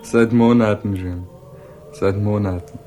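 An actor playing an insolent small-time hippie in a 1960s German radio crime drama, mocking, evasive and slangy. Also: male voice, fairly low pitch, steady tone, a little slow, dark tone, soft background music, lightly acted. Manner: drawling, taunting, slangy.

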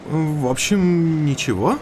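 An actor playing a polite country doctor in an audio drama, speaking haltingly with little filler sounds. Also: male voice, on the high side, expressive, a little mellow, a little hiss or hum. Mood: polite, hesitant.

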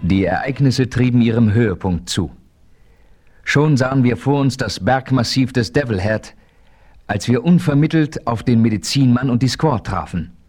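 An actor narrating a radio play as a steady frontier hero, telling his story in a measured way. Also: medium pitch, breathy edge, faint music behind, lightly acted.

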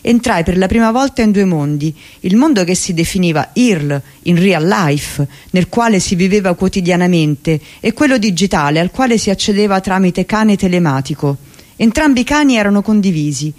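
A reader giving a measured, reflective first-person memoir reading on radio. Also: mid-range voice, lively intonation, a little mellow.

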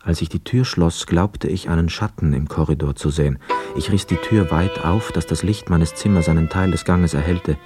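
An actor playing a lonely, melancholy young returned soldier in a radio play, quiet, measured and husky, often narrating in the first person. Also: male voice, deep voice, faint music behind, natural voice. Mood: brooding, hushed.

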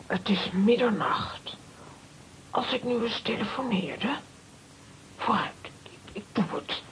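An actress playing an excitable, worried but determined aunt in a children's audio drama. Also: female voice, on the high side, slow pace, whispered, rough recording. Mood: nervous, hushed.

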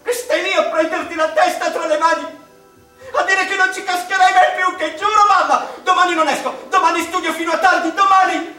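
An actor playing a wistful, anguished male mathematician in a theatrical radio monologue, speaking to his mother with halting, dramatic delivery. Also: very high-pitched, steady tone, pushed and bright. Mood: anguished, vehement. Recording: echoey room, distant microphone, music behind.

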